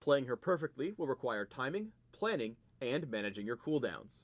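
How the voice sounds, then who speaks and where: A man's voice: mid-range voice, sing-song, moderate pace, no room sound. A man presenting a game spotlight in scripted, instructional tutorial narration. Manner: instructional, explanatory.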